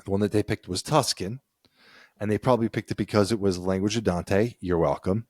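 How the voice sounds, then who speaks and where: Low-voiced man co-hosting a chat. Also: fairly even intonation, brisk, a little mellow, dry recording, clean studio sound. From United States. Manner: reads passages aloud, then riffs conversationally. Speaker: podcast co-host. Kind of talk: casual chat.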